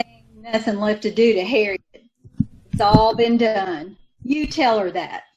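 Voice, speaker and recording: A woman's voice: mid-range voice, measured pace, darkish tone, highs cut off, close to an everyday voice. A woman playing a bitter, resentful sister. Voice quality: folksy ungrammatical speech, resentful. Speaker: radio actor. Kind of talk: old-time radio drama acting.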